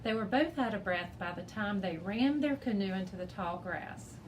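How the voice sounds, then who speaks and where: A woman reading a children's chapter book aloud as a teacher, in an expressive storybook style. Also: medium pitch, moderate pace, slight background noise.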